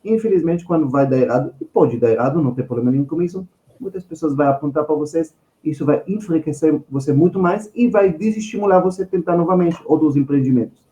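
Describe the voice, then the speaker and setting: Male podcast guest with an Israeli accent: mellow tone, emphatic conversational delivery, recorded in a roomy space. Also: a little high, fast talker, lo-fi sound. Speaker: guest finance expert. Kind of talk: conversational podcast storytelling and advice.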